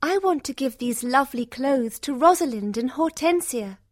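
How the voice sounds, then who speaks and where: Woman voicing a high-pitched, kind young storybook heroine. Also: expressive, moderate pace, no echo, clean studio sound. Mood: happy, generous. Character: kind, gentle young fairy-tale heroine, devoted daughter and sister.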